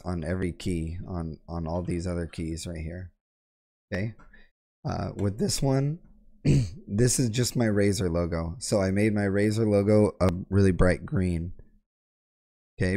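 A young man with a sore throat, clearing his throat now and then as he casually walks through a keyboard lighting tutorial from his home gaming room on a close boom mic. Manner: relaxed explaining, frequent throat clearing. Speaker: tech tutorial host. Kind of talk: casual screen-share tutorial. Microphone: boom mic close to face, headset on.

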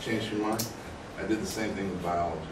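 Lowish-voiced man, echoey classroom recording. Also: steady tone, brisk. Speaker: guest speaker, content writer. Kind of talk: informal talk to a class, asking questions. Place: small classroom, indoors. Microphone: distant camera microphone. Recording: echoey room, distant mic, clear noise.

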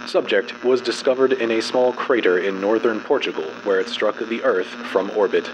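Low-voiced male narrator over loud hum. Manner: formal, clinical, measured delivery. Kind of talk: dramatic scripted narration of a horror fiction file.

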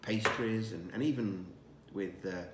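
A man in his sixties, a winemaker, presenting a wine tasting in a relaxed, savoring way with many fillers and restarts, recorded indoors at a dining table by a camera across the table. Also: fairly low pitch, unhurried, echoey room, heavy background noise.

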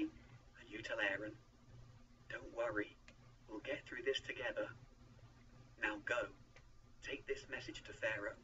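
A man's voice: lowish voice, bright, forceful tone, recorded from a distance, highs cut off, a little hiss or hum. A man voicing a divine being, solemn, commanding and reassuring, in a dramatized Bible story.

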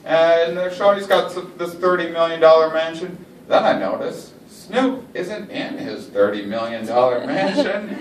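A man with a high voice works a small club stage, mocking and wry. The sound is captured from an audience table, so the voice comes from a distance. A roomy echo and loud laughter fill the room. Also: expressive, normal speed, a little hiss or hum. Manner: wry, mocking, shifts into silly voices for jokes. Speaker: stand-up comedian. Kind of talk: stand-up comedy set. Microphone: handheld mic, filmed from an audience table.

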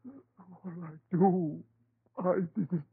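An actor playing an anguished, guilt-ridden husband in melodramatic 1930s radio horror style, halting and repeating himself. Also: male voice, high voice, creaky, breathy edge, heavily acted. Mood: pleading, distraught. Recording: tinny, muffled 1930s radio broadcast.

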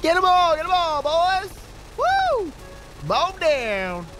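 A young man giving silly, excitable improvised roleplay commentary as co-host of a gaming video, often repeating phrases. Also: extremely high pitch, slow pace, low-quality recording.

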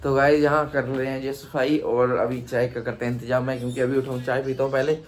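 A man's voice: mid-range voice, little pitch movement, a little fast, a little hiss or hum. A man in his thirties talks casually to his phone held at arm's length, teasing and easygoing. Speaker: vlogger. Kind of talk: casual family vlog chat. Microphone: phone selfie at arm's length.

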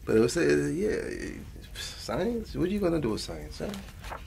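Man playing a gravelly old Spanish-accented grandpa. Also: on the high side, wildly swooping pitch, unhurried, darkish tone, slight background noise, pitched above the performer's own voice, full character voice. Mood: wry. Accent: Spanish-accented English. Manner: rambling, repeats phrases, slips between English and Spanish. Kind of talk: ASMR bedtime storytelling roleplay.

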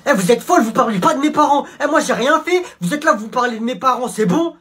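A young man plays a brash schoolboy who talks tough. He is loud and threatening and uses street slang. His voice is a little husky. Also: very high-pitched, lively intonation, fast, voice pushed up, heavily acted. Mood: outraged. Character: pushy teenage schoolboy tough guy.

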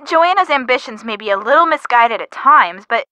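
A woman's voice: a little high, lively intonation, normal speed, pushed and bright, no echo. A woman voicing a blunt, teasing young female friend in a video game, speaking casually and directly with a sardonic edge. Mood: direct, encouraging. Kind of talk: scripted video game dialogue.

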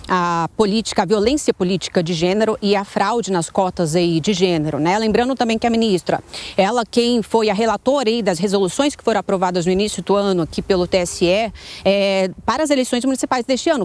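A woman's voice: mid-range voice, a little mellow. A young woman field reporter giving a live news report outdoors with a handheld mic, explaining steadily with small fillers. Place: outdoors in a plaza.

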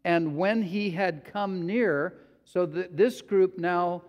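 A male pastor preaching a sermon in a slow, deliberate way, sometimes acting out quotes. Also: high voice, dull audio. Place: church sanctuary. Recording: long hall reverb.

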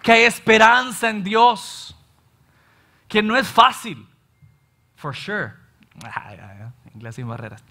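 A Salvadoran pastor in his thirties preaching an animated sermon from a church stage, often acting out his listeners' complaints. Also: high-pitched, slow, pauses often. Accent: Salvadoran Spanish. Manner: animated, gestures widely, acts out listeners' complaints. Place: church stage in front of a large screen.

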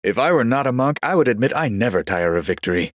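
A man voicing a genial, vain old warrior monk in a video game, speaking in a measured, courtly and wryly pious manner. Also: mid-range voice, sing-song, dry recording, clean studio sound, lightly acted. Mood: serene, wry. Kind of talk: scripted game character voice lines.

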